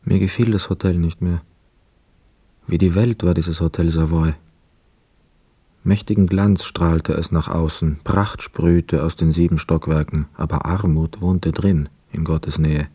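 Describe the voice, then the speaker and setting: An actor playing a brooding young hotel guest, narrating a literary radio play in a reflective, measured, sombre manner. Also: male voice, low voice, fairly even intonation, warm tone, slightly rough, no room sound.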